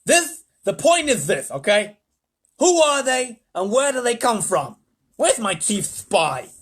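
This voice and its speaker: A man reading aloud and voicing an excitable, bossy male president who shouts his questions. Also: very high voice, slow pace, slightly rough, voice pushed up, exaggerated voice. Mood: demanding. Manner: shouting, eager.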